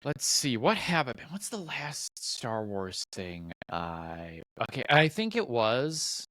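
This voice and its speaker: Male co-host, mid-pitched and bright, dry recording, loose rambling delivery that works thoughts out aloud. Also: sing-song, takes it slowly, no room sound. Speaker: podcast co-host. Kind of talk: casual podcast chat.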